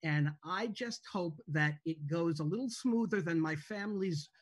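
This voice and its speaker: Woman, lowish voice, hesitant and informal, introducing a show over video call. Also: husky. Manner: hesitant, halting. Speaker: TV show creator introducing a table read. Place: indoors at home on a video call. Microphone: video call microphone.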